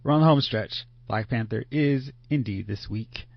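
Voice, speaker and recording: Male podcast host, gravelly and upbeat, dull low-fi sound. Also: normal speed, no room sound. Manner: upbeat, loud when leading the panel. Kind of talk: casual podcast chat.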